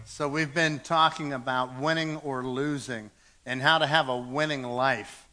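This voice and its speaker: A man's voice: medium pitch, steady tone, unhurried, pushed and bright, soft background music. A man preaching a sermon in a church, earnest and repeating words as he goes, reading scripture aloud. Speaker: pastor.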